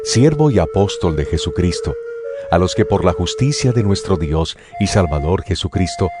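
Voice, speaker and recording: A man giving a dramatized Bible reading in a slow, solemn and expressive way. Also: low voice. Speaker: scripture reader. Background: music.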